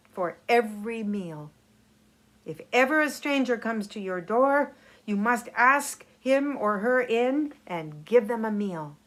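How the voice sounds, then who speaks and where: A commanding storybook pirate queen, played by a woman reader, stern but fair. She declaims her terms firmly and slowly, every phrase given weight. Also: mid-range voice, a bit bright. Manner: firm, declamatory.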